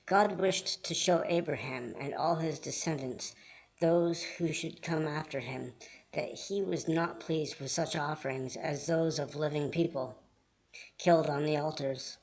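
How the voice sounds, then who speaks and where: A man reading scripted Bible storybook narration in a measured, earnest manner. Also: fairly high pitch, fairly even intonation, gravelly, low-quality recording.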